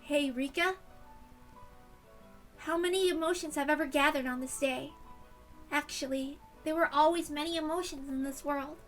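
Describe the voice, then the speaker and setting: A woman's voice: high-pitched, fairly even intonation, normal speed, pauses often, faint music behind, close to an everyday voice. A woman playing a solemn, reflective girl who muses on fate in an amateur story reading.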